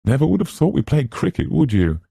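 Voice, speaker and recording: A man voicing a flippant young gentleman in a storybook, with a light, lazy, mock-serious manner and playful humour. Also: medium pitch, sing-song, brisk, vocal fry, breathy edge, no room sound, studio quality, voice pushed up, full character voice. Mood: mock surprise.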